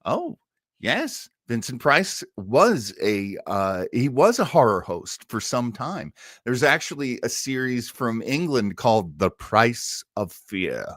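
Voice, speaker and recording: A man hosting a livestream, chatting casually to his audience as an enthusiastic, animated fan. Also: medium pitch, takes it slowly, no room sound, clean studio sound. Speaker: livestream host. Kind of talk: casual chat to live audience.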